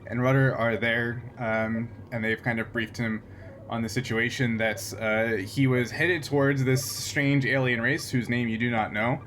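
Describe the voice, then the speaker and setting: A man's voice: medium pitch, steady tone, a bit bright, slight background noise. A man narrating as game master of a tabletop role-playing game, talking with frequent fillers and restarts. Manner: halting, many fillers and restarts.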